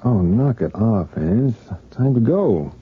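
A man's voice: on the low side, lively intonation, slow. An actor playing a cold, dismissive young man in a radio drama, curt and sarcastic. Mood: callous. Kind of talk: 1980s radio drama acting. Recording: tinny hissy old radio broadcast.

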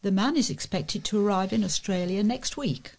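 Creaky-voiced woman reading the news. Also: medium pitch, normal speed, darkish tone. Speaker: volunteer newsreader. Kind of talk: reading news reports aloud.

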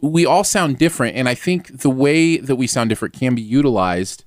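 A man co-hosting a casual music review chat, animated and joking, laughing often. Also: medium pitch, a little fast, no echo, studio quality. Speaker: podcast co-host. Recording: music playing in background.

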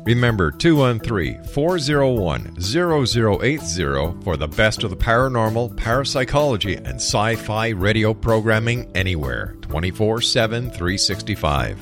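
A man's voice: fairly low pitch, big pitch swings, moderate pace, few pauses, music in the background. A man presenting a radio show and reading announcements on a studio microphone. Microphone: studio broadcast mic.

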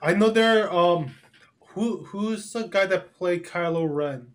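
Slangy young man, slightly high voice. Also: slow pace. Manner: slangy, swears a lot, calls people dude. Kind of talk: casual chat.

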